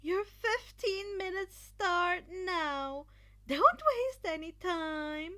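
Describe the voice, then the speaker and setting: A woman voicing an excitable, theatrical female sports festival announcer with a dramatic, drawn-out delivery in a fan fiction reading. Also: very high-pitched, pitched above the performer's own voice, heavily acted. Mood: urgent. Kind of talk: fan fiction podfic character voice.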